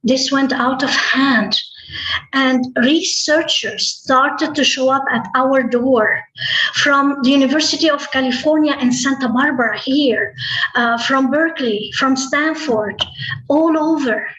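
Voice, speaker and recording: A woman telling a tall tale live, with deliberate, dramatic phrasing, pauses and repetition. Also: sits high, a bit bright. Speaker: storyteller. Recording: roomy, echoey, slightly muffled online-call audio.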